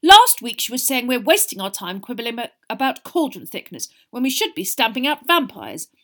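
A woman's voice: a little high, wildly swooping pitch, moderate pace, pitched above the performer's own voice. Woman doing a pompous, officious young man. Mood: furious, indignant. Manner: pompous, indignant.

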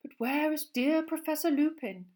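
A woman's voice: high voice, animated, normal speed, darkish tone, voice pushed up. A woman voicing a theatrical, airy fortune-teller witch teacher in an audiobook reading. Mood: misty. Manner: airy and grandiose. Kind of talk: audiobook character voice.

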